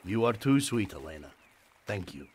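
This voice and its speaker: An actor playing a gallant, reassuring ship's captain in a period audio drama, courteous and playfully affectionate. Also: male voice, deep voice, sing-song, normal speed, a little mellow, slight background noise. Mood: tender.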